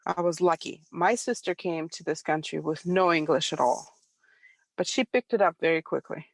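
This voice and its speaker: A middle-aged woman from Ukraine with a Russian accent, a Russian native speaker telling her story calmly as an interview guest. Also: low-pitched, normal speed, vocal fry, no room sound. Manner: calm, reflective, soft laughs.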